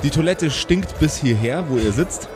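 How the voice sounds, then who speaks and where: A man's voice: fairly high pitch, animated, moderate pace, music in the background. A man narrating an improvised pen-and-paper audio play as game master.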